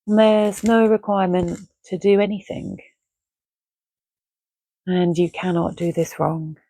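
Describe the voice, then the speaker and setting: Slow, mellow woman guiding meditation. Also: fairly low pitch, steady tone, no room sound, low-quality recording. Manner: gentle, unhurried, with long pauses between phrases. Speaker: meditation guide. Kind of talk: guided Yoga Nidra relaxation, soothing and measured.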